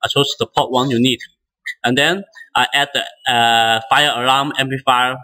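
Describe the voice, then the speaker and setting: A man who speaks English as a non-native language, giving a step-by-step software tutorial in a halting, hesitant way with frequent restarts. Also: mid-range voice, fairly even intonation, rough recording. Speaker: instructor demonstrating software.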